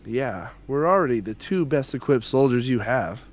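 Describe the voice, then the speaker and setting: A man's voice: medium pitch, animated, normal speed, lightly acted. A man playing an eager, combative young soldier in an amateur radio drama. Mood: concerned. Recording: faint background noise and ambience.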